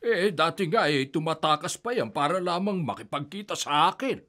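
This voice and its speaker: A man's voice: fairly high pitch, lively intonation, moderate pace, sharp tone. An actor playing an easygoing older husband and grandfather who jokes about his wife, in a radio drama. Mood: teasing. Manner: joking, easygoing. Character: teasing old husband and grandfather.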